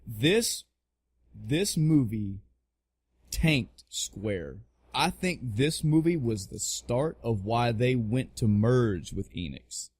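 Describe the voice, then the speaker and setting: A man's voice: mid-range voice, expressive, slow pace, darkish tone, breathy edge, no room sound. A man in his thirties chatting casually on a podcast, blunt and joking, swearing freely and repeating phrases for emphasis. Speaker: podcast co-host. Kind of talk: casual opinionated podcast chat.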